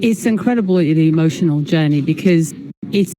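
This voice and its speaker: A woman's voice: low voice, brisk, a crowd in the background. A woman speaking as an investigative reporter, explaining her findings in a broadcast interview.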